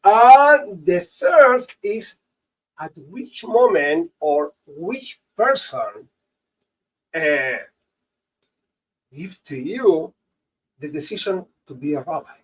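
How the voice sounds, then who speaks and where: Host: halting man, swooping high voice, distant mic. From Latin America. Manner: halting, repeats words, animated pitch. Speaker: host, rabbi. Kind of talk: hosting a panel conversation.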